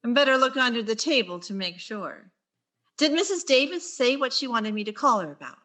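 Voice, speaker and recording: A woman playing a wry schoolteacher with quick deadpan asides in a vintage radio sitcom style. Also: on the high side. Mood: wry, friendly.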